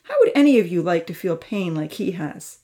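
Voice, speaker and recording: A woman voicing a firm, kindly older male leader who scolds boys in a measured way in a storybook reading. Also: on the low side, lively intonation, warm tone. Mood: stern.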